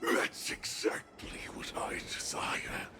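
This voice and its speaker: A man voicing a defiant, savage wolf-man warrior in a video game, taunting and theatrical, with a gravelly, breathy rasp. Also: takes it slowly, very noisy, close to an everyday voice. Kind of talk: dramatic game voice acting. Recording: loud game music behind.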